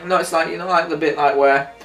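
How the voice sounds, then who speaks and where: A man's voice: fairly high pitch, steady tone, fast, a bit bright. Male review co-host, conversational and hesitant, thinks aloud; roomy, off-mic sound. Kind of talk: casual chat reviewing an audio drama. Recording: echoey room, distant mic, faint music behind. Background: faint music.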